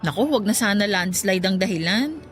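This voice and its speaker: A woman playing an affectionate, teasing younger married woman in a Filipino radio drama, speaking in a gently reassuring way. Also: medium pitch, expressive, normal speed, faint music behind, natural voice. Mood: light, curious.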